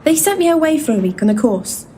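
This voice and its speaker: A high, mellow female voice with faint noise in the background. a long-suffering colleague who narrates past events in a dry, matter-of-fact way for a comedy drama. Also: very animated, fast. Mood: weary, dry. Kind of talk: storytelling in full-cast audio drama.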